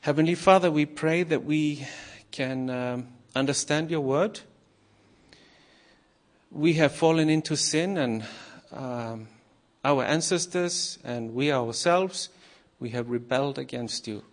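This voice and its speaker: A man from Iceland, a former missionary, giving a nervous, halting sermon to a congregation with many pauses and fillers. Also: on the high side. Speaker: guest preacher.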